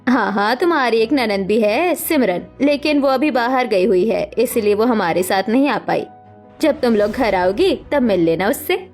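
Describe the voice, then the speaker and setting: A woman plays a middle-aged mother-in-law from a cartoon family drama, two-faced and domineering. Her voice is very high, with soft music behind it. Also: lively intonation, normal speed, lightly acted. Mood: sweet.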